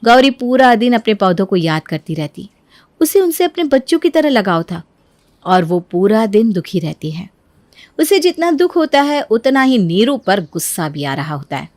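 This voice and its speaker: A woman's voice: mid-range voice, big pitch swings, moderate pace, a little mellow, dry recording. A woman reading scripted narration for a cartoon family story.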